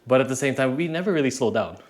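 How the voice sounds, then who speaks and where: A man's voice: mid-range voice, lively intonation, fast talker. A young man hosting a casual podcast chat as a realtor, laughing often and mixing in Tagalog.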